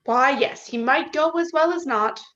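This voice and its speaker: A woman playing a dismissive, philosophizing country doctor in a play reading over a video call, measured and matter-of-fact. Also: high-pitched, a bit bright. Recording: slightly dull video-call audio.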